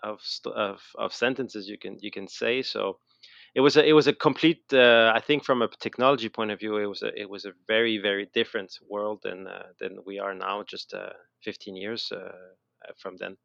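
A middle-aged man with a Quebec French accent speaks in a low voice with a gravelly fry. He talks reflectively as an interview guest, stopping and restarting phrases as he goes. The recording is dry and slightly dull. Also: steady tone, a bit bright, no echo. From Montreal, Canada. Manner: halting, repeats words mid-sentence. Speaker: interview guest, educator and designer. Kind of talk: casual interview, reflective storytelling.

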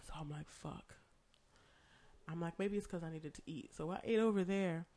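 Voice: medium pitch, moderate pace, a little mellow. A podcast co-host who is losing their voice to a cough, telling a long, profane story in casual chat.

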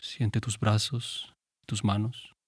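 A man guiding a meditation in a calm, gentle, unhurried manner with pauses. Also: lowish voice, breathy edge, no echo, studio quality. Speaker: meditation guide. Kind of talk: guided meditation.

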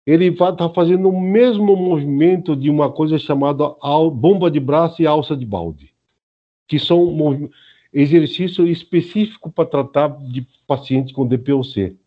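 Older Brazilian man, higher-pitched, dull audio. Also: moderate pace. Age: early 70s. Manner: didactic, often adds checking tags. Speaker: senior Aikido instructor, interview guest. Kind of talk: informal explanatory interview talk.

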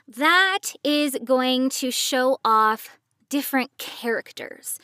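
A woman with a somewhat high-pitched voice talks as a podcast co-host. She explains things in a conversational way, like a working voice actor. The sound is very dry, with no room echo. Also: a bit bright, smooth.

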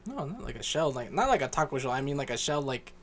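A man's voice: medium pitch, sing-song, quick pace, a bit bright. A man chatting casually with friends, laid-back and mostly giving short reactions. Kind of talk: casual chat among friends.

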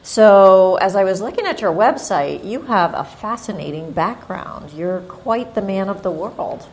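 A woman's voice: medium pitch, lively intonation, unhurried, darkish tone, slight background noise. A woman hosting an interview, moving between a prepared introduction and conversational questions. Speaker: interview host, chamber of commerce executive.

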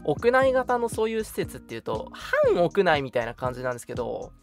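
A man's voice: on the high side, big pitch swings, a little fast. Young guy, casual radio host talk, voice swings around a lot, teasing, faint music behind. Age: young adult. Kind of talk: casual chat.

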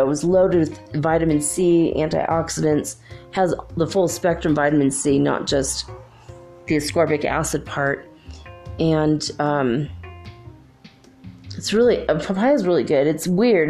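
A woman's voice: low-pitched, a little mellow. A middle-aged woman hosting a podcast, rambling casually with many ums and asides, a little congested from feeling under the weather. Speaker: podcast host. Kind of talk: casual solo podcast chat.

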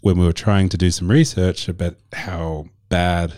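A man who talks through his ideas in a podcast interview, often pausing and restarting his sentences as he explains. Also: low-pitched, little pitch movement, darkish tone, no echo, clean studio sound. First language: not Arabic; speaks English. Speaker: marketing agency guest.

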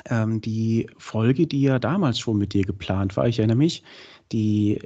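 A man hosting a podcast in casual chat, with a cold, chatty and filling pauses. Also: on the low side, dark tone. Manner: chatty, many fillers. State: has a cold. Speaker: podcast host. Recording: dull lo-fi sound.

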